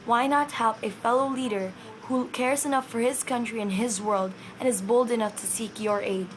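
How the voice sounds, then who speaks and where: A woman from the Philippines, reading a prepared statement in an earnest, measured way. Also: a little high, steady tone, a little hiss or hum. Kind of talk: prepared statement read to camera.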